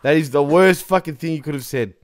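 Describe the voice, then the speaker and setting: A man with a slightly high voice chats on a casual panel show. He is informal and slangy, jumping in with short remarks. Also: fairly quick. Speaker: wrestling podcast panelist. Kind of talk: casual chat.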